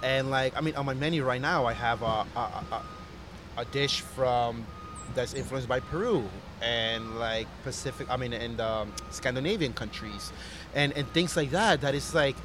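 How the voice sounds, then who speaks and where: A man's voice: mid-range voice, normal speed, noisy background. A man who grew up in Costa Rica, a chef talking earnestly and reflectively in a casual interview, with fillers and restarts.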